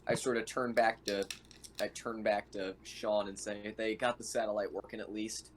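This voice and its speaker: A man playing a tabletop roleplaying game, talking and narrating in a halting, conversational way. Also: lowish voice, level tone. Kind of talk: casual in-character roleplay and narration. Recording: noisy room with keyboard clicks.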